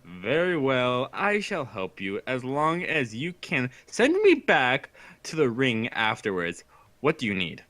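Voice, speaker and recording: A man voicing a solemn, boastful champion wrestler in an amateur fan fiction reading, with slow declamatory delivery. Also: medium pitch, huge pitch swings, a bit bright, no echo. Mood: solemn, resolute.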